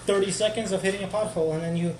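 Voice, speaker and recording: A man's voice: sits high, fairly even intonation, racing. A man from Ukraine, a guest on a casual stream, talking hesitantly and repeating words as he goes. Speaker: guest, car owner. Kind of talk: casual chat. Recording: echoey room with background noise.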